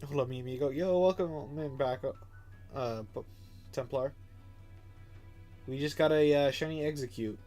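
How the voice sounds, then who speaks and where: A man's voice: mid-range voice, moderate pace, long pauses, dark tone, faint music behind. A young man with a stuffed-up nose, chatting casually and rambling while he streams a game. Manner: chatty, rambling, filler-heavy. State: stuffed up, congested. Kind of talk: casual live-stream commentary.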